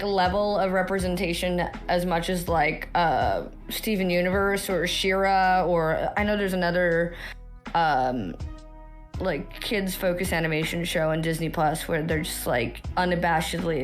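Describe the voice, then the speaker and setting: An American woman in her thirties with a hoarse voice, rambling and swearing freely in casual chat as a podcast guest. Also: fairly low pitch, a little slow, faint music behind. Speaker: actor.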